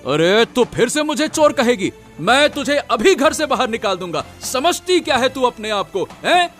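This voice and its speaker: A man's voice: extremely high pitch, very animated, normal speed, a bit bright, faint music behind, exaggerated voice. A man playing an aggressive, greedy young husband who bullies his wife in a cartoon moral story. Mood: furious, threatening.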